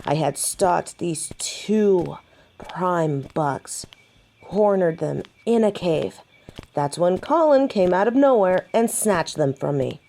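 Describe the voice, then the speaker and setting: A woman's voice: on the low side, lively intonation, takes it slowly, vocal fry, warm tone, a little hiss or hum, full character voice. A woman playing a young, stubborn, hot-headed female werewolf in an audio roleplay drama. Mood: indignant.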